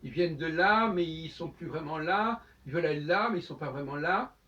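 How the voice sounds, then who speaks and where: A man giving a spoken lecture on his own, talking in halting bursts with many restarts. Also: a little high, expressive, sharp tone. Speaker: host. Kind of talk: lecturing monologue. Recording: dull sound, from far off the mic.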